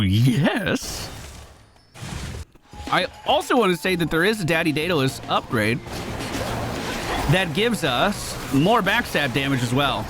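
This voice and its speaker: A man giving casual gameplay commentary in an excitable way, given to swearing when thrilled. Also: a little high. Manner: excitable, profane exclamations. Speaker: gaming video host. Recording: game music behind voice. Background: video game music.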